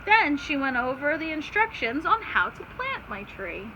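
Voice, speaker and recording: A woman's voice: high voice, very animated, measured pace, lo-fi sound. A woman teacher reading aloud to children, stopping to explain simply and put questions to her listeners. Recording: clear background noise.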